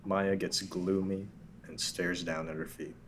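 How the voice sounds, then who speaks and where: Man, narrator, table read, deep voice, plain reading. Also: level tone, measured pace, slight background noise. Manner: reads stage directions plainly.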